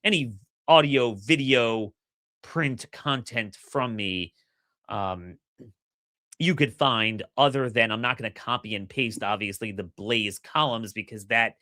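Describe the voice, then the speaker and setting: Bright, dry-voiced man, slightly hoarse. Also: medium pitch, a little slow, no room sound. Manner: energetic, opinionated, repeats words when starting sentences. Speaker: podcast host. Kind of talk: solo political commentary.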